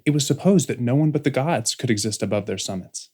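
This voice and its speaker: A woman's voice: rumbling low, animated, quick pace, darkish tone, breathy edge, full character voice. Woman acting polite airship attendant, deferential, eager to explain, slightly unconventional, sci-fi audiobook drama. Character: anxiously polite airship attendant, a little rough and original.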